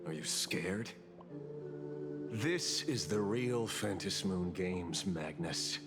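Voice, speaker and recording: An actor playing a cynical, menacing interrogator in a video game, with a measured, taunting, dramatic delivery. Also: male voice, sits low, music in the background. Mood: taunting, grim. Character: menacing, cynical male interrogator mocking a hero.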